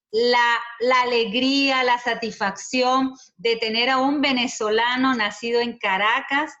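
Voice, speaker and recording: Woman presenter, slow and bright, on muffled video call audio. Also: on the high side. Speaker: host. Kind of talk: formal welcome and introduction.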